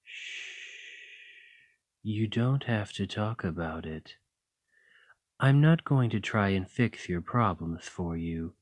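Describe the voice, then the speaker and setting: A man voicing a doting, teasing male dragon lover in an ASMR roleplay, talking softly and coaxingly. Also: on the low side, expressive, slow pace, long pauses, no room sound. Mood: tender. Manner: soft, playful and coaxing.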